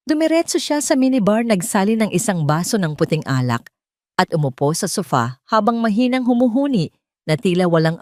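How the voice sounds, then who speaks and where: A woman's voice: low-pitched, a little mellow, no echo, studio quality. A Filipino woman reading a scripted dramatic story as its narrator.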